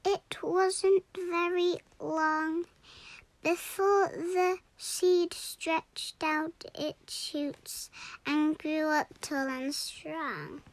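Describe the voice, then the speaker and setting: A young girl reading a rhyming children's storybook aloud in a deliberate, expressive way. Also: extremely high pitch, no room sound, low-quality recording. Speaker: story reader.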